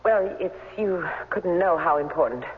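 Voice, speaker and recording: A woman's voice: lowish voice, lively intonation, normal speed, long reverb tail, close to an everyday voice. A woman acting in a 1970s radio drama as a tart, businesslike young secretary with a prickly edge. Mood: urgent, polite. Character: brisk, sardonic young secretary, prickly but anxious. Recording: hollow, dull old radio recording, faint noise.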